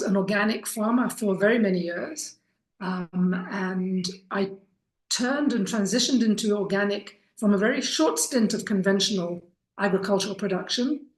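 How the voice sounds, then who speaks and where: A middle-aged woman from Kenya gives an informal introductory talk as a course instructor, earnest and deliberate, telling her story with pauses. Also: mid-range voice. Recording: echoey room.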